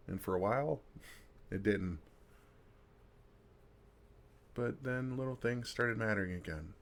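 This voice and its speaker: Man acting as a gravelly, deep, gentle vampire. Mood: reflective, soothing. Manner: soft-spoken, reassuring, gently teasing with pauses. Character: kind, wry older male vampire comforting a fledgling. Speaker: ASMR roleplay performer. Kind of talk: intimate ASMR roleplay, second-person comforting.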